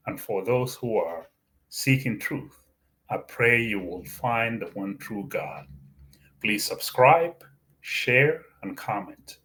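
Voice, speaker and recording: A man whose first language is Arabic hosts his own channel, giving a Bible teaching talk in a slow, deliberate way with many fillers and restarts. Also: fairly low pitch, a bit bright, poor audio. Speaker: YouTube channel host.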